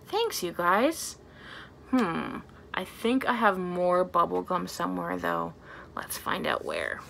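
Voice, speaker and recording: A woman in her thirties leading a storytime for young children as a librarian, talking in an animated way with simple, exaggerated phrasing. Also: fairly high pitch, vocal fry. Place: small plain indoor room.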